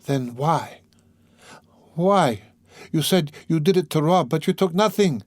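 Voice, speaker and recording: A man's voice: mid-range voice, animated, moderate pace, darkish tone, dry recording, clean studio sound, voice pushed up, natural voice. A man voicing a distraught, timid, tender-hearted young woman in a dramatic audiobook reading, urgently questioning and pleading. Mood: anxious questioning.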